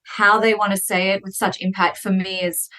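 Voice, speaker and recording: A woman in her thirties, a podcast guest chatting in an animated, fast storytelling way. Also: mid-range voice. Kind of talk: casual conversation.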